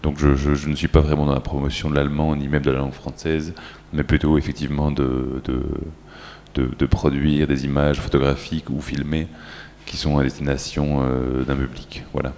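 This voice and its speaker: Image-maker on panel, extremely deep voice, stammering restarts, hall reverb. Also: male voice, little pitch movement, a little mellow, slight background noise. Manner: stammering restarts, then measured. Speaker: photographer and filmmaker on a panel. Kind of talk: answer at a public conference. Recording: echoey hall.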